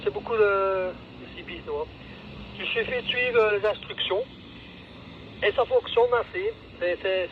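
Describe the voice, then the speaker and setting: Through dull, noisy two-way radio audio comes a man with a Belgian French accent. His voice is on the higher side. He chats casually, rambling and hesitating as he repeats himself. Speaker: amateur two-way radio user. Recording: dull lo-fi two-way radio, clear noise, distant.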